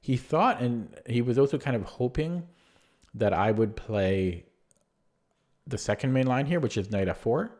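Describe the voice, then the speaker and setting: A man going over one of his own chess games move by move in a relaxed, rambling way, with a voice that is going hoarse. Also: mid-range voice, a little slow. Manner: rambling, many fillers and restarts. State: losing his voice. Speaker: chess player reviewing his own game. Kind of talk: casual spoken chess analysis.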